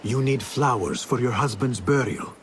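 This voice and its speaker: An actor voicing a stealthy assassin who speaks in a measured, quiet, resolute way. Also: male voice, mid-range voice, slight background noise, close to an everyday voice. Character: stealthy hooded assassin, calm and determined.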